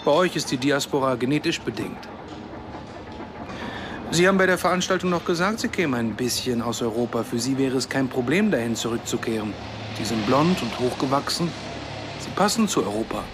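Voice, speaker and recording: A man's voice: mid-range voice, expressive, brisk, lightly acted. An actor playing a proud, stubborn Palestinian man in a radio drama, with a pointed, bitterly ironic manner. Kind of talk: German radio drama dialogue. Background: music.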